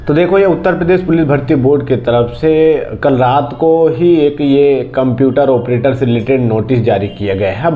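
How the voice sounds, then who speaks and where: A man's voice: mid-range voice, dull audio. A man presenting an exam news update to viewers in an informal, conversational, explanatory way.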